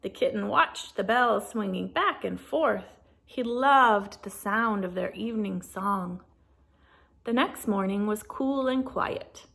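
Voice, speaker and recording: Lady doing a kids read-aloud, expressive, takes her time, bit of fry in the voice. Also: mid-range voice. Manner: deliberate, animated storytelling. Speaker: storybook reader.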